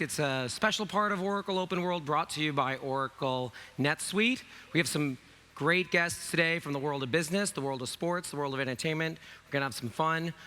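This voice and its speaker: A man in his fifties with a raspy voice, hosting a conference keynote in a loose, chatty way with many fillers. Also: a little high, sharp tone. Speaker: keynote host. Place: large conference stage.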